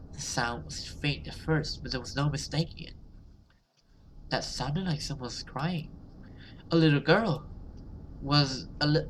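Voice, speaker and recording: A gaming host narrates a horror visual novel in a bright voice, shifting between dramatic reading and casual commentary. There is faint noise behind. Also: mid-range voice.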